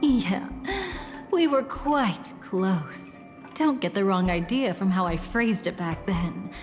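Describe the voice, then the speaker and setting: A woman's voice: mid-range voice, lively intonation. An actress playing an elegant, mysterious woman in a video game, capricious and playfully menacing, with a teasing, theatrical delivery. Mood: sly, intimate. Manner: theatrical, teasing, silky and deliberate. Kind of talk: video game character dialogue. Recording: long reverb, music behind.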